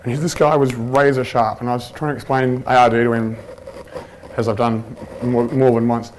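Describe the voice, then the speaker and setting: Relaxed man giving a talk. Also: mid-range voice, fairly quick, a little hiss or hum, rough recording. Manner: relaxed, chatty, frequent ums and restarts. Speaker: conference presenter. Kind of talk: informal conference talk with anecdotes. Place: conference room at a workshop. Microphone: handheld microphone.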